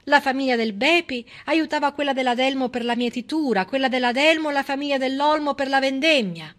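Bright, high-voiced woman in theatrical monologue. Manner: emphatic, rhetorical, ironic, with pointed pauses. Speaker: stage monologist. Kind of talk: theatrical civic monologue, persuasive storytelling.

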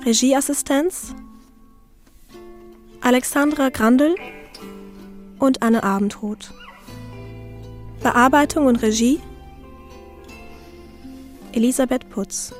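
A woman's voice: high voice, takes it slowly, long pauses. A woman announcer reading scripted radio drama credits. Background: guitar music.

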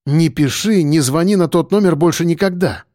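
A man's voice: a little high, a little mellow, no room sound, studio quality, voice pushed up, lightly acted. A man playing a harried grown son in an audiobook story, insistent and impatient with his mother. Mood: urgent, breathless. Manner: hurried, breathy exhaling, insistent.

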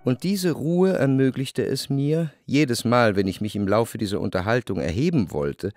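An actor playing a reflective first-person literary narrator in a radio play, measured and introspective. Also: male voice, medium pitch, lively intonation, darkish tone, no echo, studio quality, lightly acted. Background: music.

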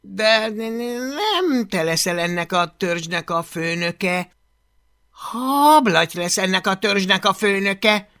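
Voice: mid-range voice, sing-song, sharp tone, pitched above the performer's own voice. A reader voicing a timid, loyal Viking boy who stammers when nervous, in a storybook reading. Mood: shaky.

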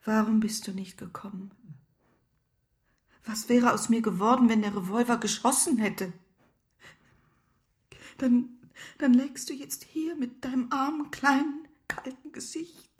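An actress playing a grieving, tender woman in an audio drama, pleading haltingly in breathy half-whispers. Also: on the high side, darkish tone, close to an everyday voice. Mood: anguished, tender. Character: grieving, tender woman reproaching a beloved young man. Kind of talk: intimate audio drama acting.

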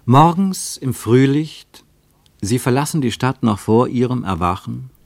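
A man narrating a literary German radio play as a reflective storyteller, with measured, lyrical phrasing. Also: medium pitch, expressive, creaky, no echo. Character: reflective male narrator of a literary travel account.

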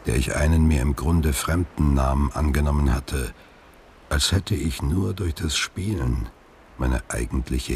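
An actor playing a weary, wary older man in hiding, delivering a measured, reflective monologue in a radio play with a gravelly voice. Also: very deep voice, a little hiss or hum. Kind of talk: radio play narration, letter read as monologue.

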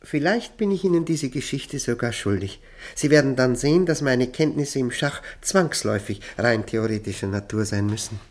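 An actor playing a modest, courteous middle-aged lawyer in a radio drama, speaking in a hesitant, deliberate and polite way. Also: male voice, medium pitch, expressive, close to an everyday voice. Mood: pensive, confiding.

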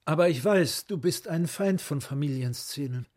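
An actor playing a suave psychiatrist placating his brother in a radio drama, husky and ingratiating. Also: a little high, moderate pace, darkish tone, lightly acted. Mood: affectionate, reproachful. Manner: smooth-talking, ingratiating. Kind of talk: German radio drama acting.

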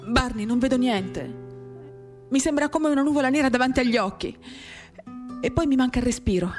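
A woman with a mid-range voice reads literary prose aloud in an expressive, lyrical storytelling manner. Her delivery is theatrical and varies in intensity. An acoustic guitar plays faintly alongside her. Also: mid-range voice. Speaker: reader of a literary work. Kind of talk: expressive dramatic literary reading, first-person narration. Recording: acoustic guitar music accompanying the voice.

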